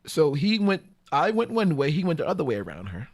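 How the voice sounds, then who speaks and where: A man tells a bawdy story in casual slang as a podcast guest. His voice sits a little high and swings widely in pitch. He is animated and voices the quotes as he goes. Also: a little fast.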